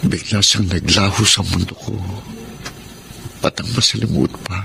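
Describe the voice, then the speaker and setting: Casting: sorrowful widowed dad, low voice, heartfelt drama delivery over clear background noise. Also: male voice, unhurried, heavily acted. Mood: sorrowful, pained. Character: grieving widowed father, tender and sorrowful. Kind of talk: Filipino television drama acting. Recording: clearly noisy location sound.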